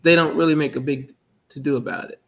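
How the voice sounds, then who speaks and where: A man with a soft, not very deep voice, answering interview questions over a video call in a hesitant, halting way. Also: expressive, creaky, husky. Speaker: guest, minister.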